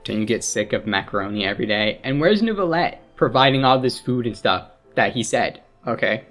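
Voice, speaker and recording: A man's voice: medium pitch, expressive, fairly quick, a bit bright, soft background music. A man giving casual, informal playthrough commentary, rambling along as he hosts a gaming video. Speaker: gaming channel host.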